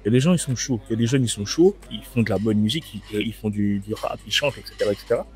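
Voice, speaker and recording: Chill young guy talkin slang over food, music playin low behind him. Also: rapid-fire, darkish tone, breathy edge. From France. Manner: laid-back, slangy, repeats himself. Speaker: rapper guest. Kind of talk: casual interview chat. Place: restaurant.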